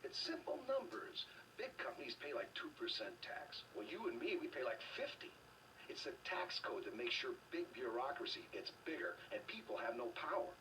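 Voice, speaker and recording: An actor playing an earnest, argumentative anti-government rebel in a video game, speaking with preachy insistence. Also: male voice, medium pitch, sing-song, normal speed, bright, forceful tone, far from the mic, slight background noise, poor audio.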